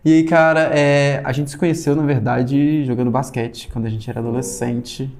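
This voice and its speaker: A man's voice: medium pitch, fairly even intonation, normal speed, over music. A man in his late twenties hosting a friendly, casual chat with a guest. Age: 20s. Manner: friendly, agreeable interviewer. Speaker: host.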